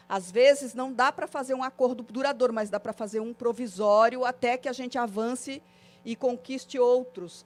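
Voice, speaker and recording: A woman lectures a training group in a meeting room, moving through her points one after another and tagging many phrases with a questioning filler. Faint music sits low behind her voice. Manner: listing points in rhythmic series, frequent filler "né". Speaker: course facilitator. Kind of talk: classroom lecture on citizenship.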